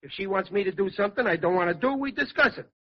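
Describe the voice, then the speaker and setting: Blustery husky sitcom dad, high for a man, folksy bad grammar, muffled old radio. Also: lively intonation, fast. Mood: blustering. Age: middle-aged. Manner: emphatic, folksy, ungrammatical. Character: blustery, good-natured working-class husband and father. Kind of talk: 1940s radio sitcom acting. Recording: muffled vintage radio recording.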